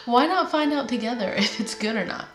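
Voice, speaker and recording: A woman's voice: on the high side, lively intonation, unhurried, music in the background. A woman hosting a home cooking vlog, chatting casually and rambling a little, sounding nasal while she gets over a head cold. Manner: chatty, rambling, laid-back with many fillers. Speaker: home cooking channel host. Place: home kitchen. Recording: echoey room, faint background noise.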